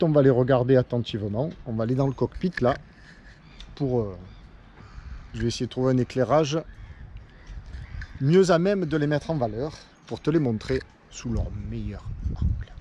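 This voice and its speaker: A man's voice: medium pitch, warm tone, a little hiss or hum. A man talking casually to camera in a rambling, self-correcting way, showing his watercolour cards from a boat. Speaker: vlogger and watercolour painter. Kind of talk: casual vlog talk to camera.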